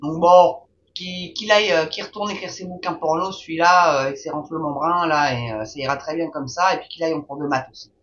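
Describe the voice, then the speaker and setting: A woman's voice: low-pitched, fairly quick, few pauses, fairly bright tone. Woman host, reads news aloud with sneering hesitant asides; muffled lo-fi sound, faint hiss. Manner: mocking, informal, many hesitations. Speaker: video host. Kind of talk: reading a news article aloud with sarcastic asides. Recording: dull lo-fi with faint noise.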